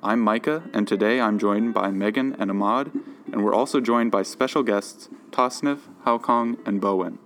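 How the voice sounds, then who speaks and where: A young man opens a podcast episode and poses questions to his guests in a friendly tone. His voice is fairly low. Faint guitar music sits underneath. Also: moderate pace, a bit bright. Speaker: podcast host. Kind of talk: hosting a podcast.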